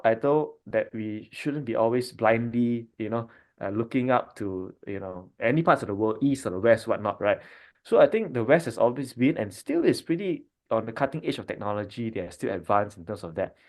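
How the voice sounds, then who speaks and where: A man from Malaysia, a doctor in his thirties, talking in a relaxed family podcast chat, thinking aloud with frequent fillers and restarts. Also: medium pitch, moderate pace. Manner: thoughtful, many fillers and restarts.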